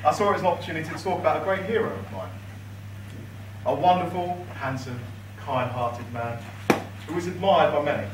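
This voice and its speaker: A young man delivers a best man's speech with a dry, teasing wit, and his pitch rises and falls a lot. He sounds far from the camera in an echoey reception hall. Guests laugh behind him over clear room noise. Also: lively intonation, brisk. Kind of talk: wedding speech read from notes. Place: large reception room with high ceiling, indoors. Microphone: far camera across the room, no mic. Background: guests laughing, room ambience.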